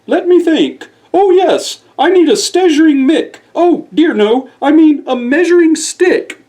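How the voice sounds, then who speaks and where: A man's voice: extremely high pitch, big pitch swings, a little slow, warm tone, pitched above the performer's own voice, heavily acted. A man voicing a forgetful, cheerful, muddled owl from a bedtime story, dithering and tripping over his words. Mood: cheerfully muddled. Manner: dithering, scatterbrained, tongue-twisted.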